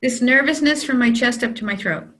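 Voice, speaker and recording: Woman coach calmly leading tapping phrases, bright tense voice, a bit high. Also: a little fast. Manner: calm, leads phrases for repeating. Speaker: EFT tapping practitioner. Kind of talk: guiding a tapping session.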